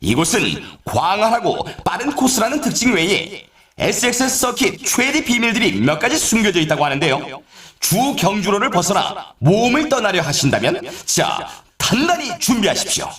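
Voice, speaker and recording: An actor voicing an excitable male snowboard race announcer in a video game, with a polished, showy scripted delivery. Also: sits high, normal speed, echoey room. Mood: showy, hyped. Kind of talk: scripted game commentary, course introductions.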